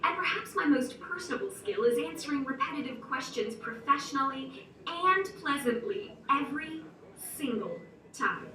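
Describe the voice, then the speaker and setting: A woman's voice: sits high, expressive, moderate pace, sharp tone, recorded from a distance. A woman voicing an upbeat, polite virtual receptionist with chirpy, scripted sales patter, played back in a showroom. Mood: cheerful. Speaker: virtual assistant demo voice. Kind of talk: scripted promotional lines. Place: large showroom. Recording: played back in a roomy showroom, heard from afar.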